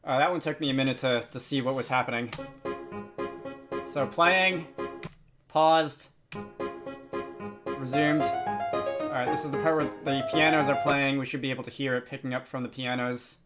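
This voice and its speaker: A man hosts a software tutorial with a mid-pitched voice. He explains things off the cuff, often pausing and hesitating as he thinks aloud. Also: steady tone, loud music behind the voice. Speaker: tutorial host. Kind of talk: unscripted software tutorial narration.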